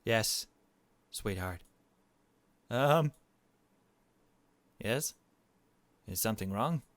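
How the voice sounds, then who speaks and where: A man voicing an evasive father in a visual novel, speaking gently and hesitantly to his young daughter. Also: on the low side, expressive, drawn-out words, no echo.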